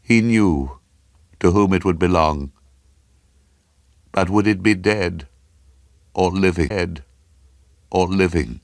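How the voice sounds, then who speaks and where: Low-voiced man narrating a ghost story. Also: dry recording, studio quality. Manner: grave, suspenseful, deliberate phrasing. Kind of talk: dramatic scripted story narration for radio.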